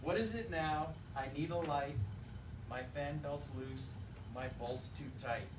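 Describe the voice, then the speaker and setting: Man, teacher's picture-book read-aloud, expressive, rhythmic, higher-set voice, distant echoey recording. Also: noisy background. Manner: playful, rhythmic delivery with pauses for children to join in. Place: indoor classroom, recorded from a distance.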